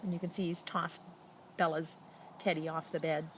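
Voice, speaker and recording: A woman reads a children's picture book aloud expressively, with dramatic emphasis and pauses and casual asides to her listeners. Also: sits low, flat delivery, slight background noise. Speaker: storybook reader.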